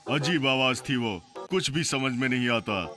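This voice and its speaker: An actor voicing an excitable, suspicious, grumbling male cartoon character. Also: medium pitch, normal speed, close to an everyday voice. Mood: uneasy. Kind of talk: Hindi cartoon dub acting. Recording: clear music playing behind.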